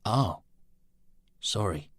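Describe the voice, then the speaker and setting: A man's voice: fairly low pitch, lively intonation, extremely slow, breathy edge, no echo, studio quality, exaggerated voice. A man voicing wry easygoing friend.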